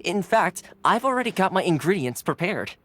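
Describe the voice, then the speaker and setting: A man's voice: sits high, big pitch swings, normal speed, exaggerated voice. An actor playing a cheerful young male retainer in a video game, easygoing and polite, with a playfully boastful streak. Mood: amiable. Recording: game audio with soft music behind.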